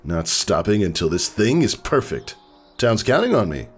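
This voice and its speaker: Woman as a low, husky perfectionist stallion gardener. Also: sing-song, moderate pace, faint music behind, heavily acted. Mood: dissatisfied, stubborn. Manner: terse, clipped, preoccupied. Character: grumbling, perfectionist male earth pony gardener.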